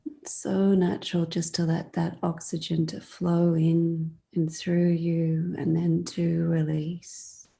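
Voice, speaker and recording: A woman leading a guided meditation in a soft, sometimes breathy voice, soothing and gentle, leaving long pauses between phrases. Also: on the low side, flat delivery.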